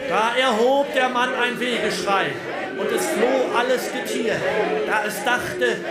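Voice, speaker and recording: An actor playing a sombre showman who declaims like a preacher in biblical style, in a radio drama. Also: high-pitched. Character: sombre, preacher-like male showman reciting a dark parable. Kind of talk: German radio drama acting. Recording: echoey stage with music behind.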